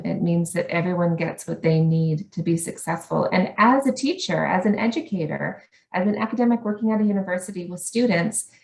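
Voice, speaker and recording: A woman's voice: fairly low pitch, vocal fry, roomy sound, poor audio. A woman with a Canadian accent giving an earnest conference presentation as an academic, with frequent ums.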